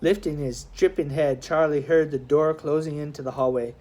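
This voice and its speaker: Lower-pitched woman reading a children's novel. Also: fairly even intonation, normal speed, mellow. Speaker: audiobook reader. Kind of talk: expressive scripted narration of a children's historical novel.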